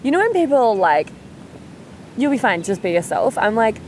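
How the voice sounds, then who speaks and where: A woman's voice: fairly high pitch, sing-song, normal speed, darkish tone, slight background noise. A woman hosting a podcast, chatting casually, emphatic and swearing freely. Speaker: podcast host.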